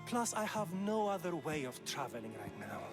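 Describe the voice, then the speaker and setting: An actor playing a poor, ashamed Greek farmer turned thief, defensive and earnestly pleading, in a video game. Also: male voice, a little high, sing-song, normal speed. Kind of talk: video game cutscene acting. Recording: music behind the voice.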